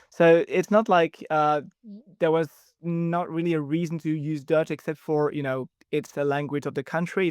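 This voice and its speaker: Belgian man, French native, clear voice. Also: fairly high pitch, a little mellow, dry recording, clean studio sound. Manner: measured, explanatory, with frequent ums. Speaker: guest. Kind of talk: podcast interview.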